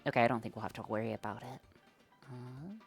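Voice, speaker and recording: A woman with a strikingly deep voice for her sex, talking her way through code on a live stream. She muses aloud, drops slang and fillers, and chats loosely with her audience. Faint music plays behind her. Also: fairly even intonation, fast. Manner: thinks aloud while coding, slangy and chatty. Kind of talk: live-stream coding chat.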